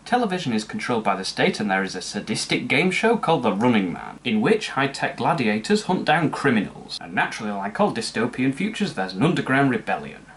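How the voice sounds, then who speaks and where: A man's voice: mid-range voice, sing-song, a little fast, hardly any pauses, fairly bright tone. A man narrating a comedic scripted film review with sarcastic, mocking asides. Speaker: movie reviewer.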